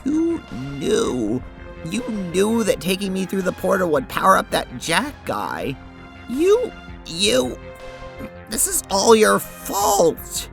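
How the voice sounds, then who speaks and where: A woman playing an anguished teenage girl and her timid double in an audio drama, speaking haltingly. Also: mid-range voice, wildly swooping pitch, creaky, music in the background, heavily acted. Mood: angry, tearful. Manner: stammering, halting. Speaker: voice actor.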